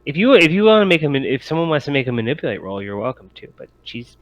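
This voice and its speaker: A man narrating a tabletop roleplaying game as game master, in a halting, self-correcting way. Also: medium pitch, very animated, muffled recording.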